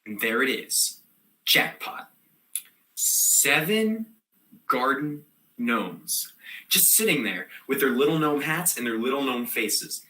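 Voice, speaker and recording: Actor doing a scatterbrained mouthy student monologue, bright voice all over the place, echoey room. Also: mid-range voice, expressive, slow pace. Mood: deadpan, rambling. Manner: rambling, slangy, with sudden yelled outbursts. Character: scatterbrained, excitable, defiant student in theater class telling wild stories. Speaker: performer of a comic theater monologue. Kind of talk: theatrical comic monologue, slangy storytelling.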